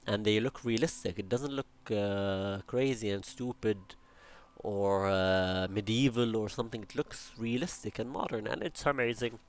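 A young man with an Arabic accent, casually commenting while building in a game, rambling with jokey asides and talking to himself. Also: lowish voice. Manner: chatty, rambling, jokey asides and self-talk. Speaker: Minecraft building channel host.

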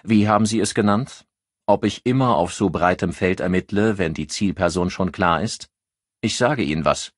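A man voicing an irritable male police inspector who presses for answers, insistent and sharp, in an audiobook crime story. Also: sits low, normal speed, dry recording, clean studio sound.

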